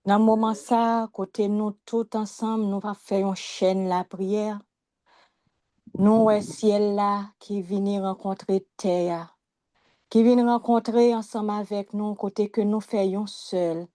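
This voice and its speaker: A woman's voice: medium pitch, steady tone, slow, mellow, no room sound. A woman with a Haitian accent praying spontaneously and earnestly, addressing God. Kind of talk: spontaneous devotional prayer.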